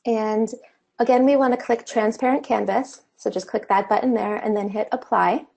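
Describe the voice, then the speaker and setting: Woman doing a screen share tutorial, kinda gravelly, a bit hoarse, chatty. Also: fairly high pitch, steady tone, normal speed, darkish tone. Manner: casual, conversational explaining with fillers. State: slightly losing her voice. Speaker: online course instructor.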